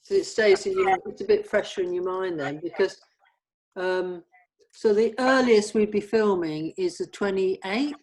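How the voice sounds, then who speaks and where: A woman's voice: mid-range voice, measured pace, darkish tone, rough recording. Woman in a casual group meeting. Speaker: production organiser at a table read.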